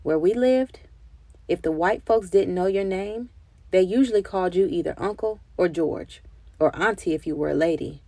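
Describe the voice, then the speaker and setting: A woman reading a children's story aloud in an expressive, deliberate storytelling style. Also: on the low side, no room sound. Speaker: children's book reader.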